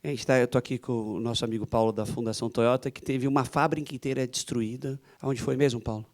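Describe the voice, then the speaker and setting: A Brazilian man moderates a panel discussion in a medium-pitched voice. He is conversational and inquisitive, building his points through a run of questions to the guest. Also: steady tone, fast, a little mellow. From Santos, Brazil. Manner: inquisitive, strings questions together.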